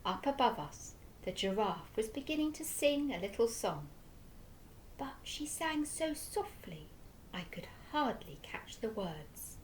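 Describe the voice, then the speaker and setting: A woman teacher reading a children's story aloud with slow, expressive storytelling. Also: on the high side, slight background noise, rough recording.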